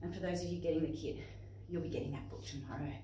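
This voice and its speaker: A woman with an Australian accent presenting to young children and parents in an expressive, instructive way. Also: sits low, quick pace, a little hiss or hum. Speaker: playgroup facilitator and presenter. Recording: echoey room, distant microphone.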